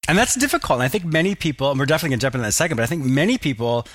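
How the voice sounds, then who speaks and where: A man's voice: a little high, lively intonation, very fast, a bit bright, no room sound, studio quality. A man hosting an interview show, enthusiastic and affirming, often laughing. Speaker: podcast host.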